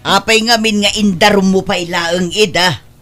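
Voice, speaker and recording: A woman playing a meddling, self-righteous senior woman in a comedic drama, nagging with drawn-out exclamations. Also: medium pitch, steady tone, fairly bright tone, soft background music, lightly acted. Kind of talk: comedic radio-style drama acting.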